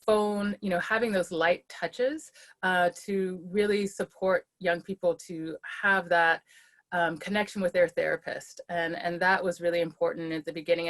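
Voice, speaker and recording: Woman, slightly low voice, earnest, frequent ums, webinar video call. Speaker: school-based behavioral health leader. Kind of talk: webinar panel talk.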